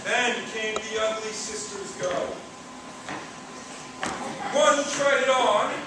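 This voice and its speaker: A woman's voice: a little high, slow, very noisy. A woman narrating a rhymed fairy tale on stage in a theatrical storytelling style. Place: large hall at a live talent show. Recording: echoey hall from far off, music, crowd.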